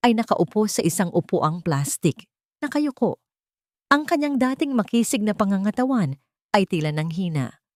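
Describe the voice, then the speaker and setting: A woman narrator reading a scripted, sentimental story in a measured, dramatic storytelling manner. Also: lowish voice, darkish tone, no room sound, clean studio sound.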